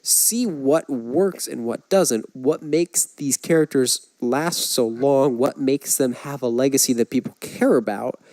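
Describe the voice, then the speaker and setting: A man's voice: medium pitch, unhurried, hardly any pauses, darkish tone, no room sound. Man, podcast co-host, casual film talk, mid-range voice, dry close sound, opinionated.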